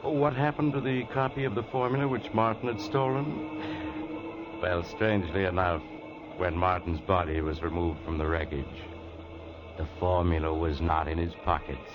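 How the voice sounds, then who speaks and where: A man's voice: low voice, steady tone, moderate pace, natural voice. An actor playing a sly, mysterious man who narrates from a train, teasing the listener, in an old radio play. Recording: dull old radio recording with music.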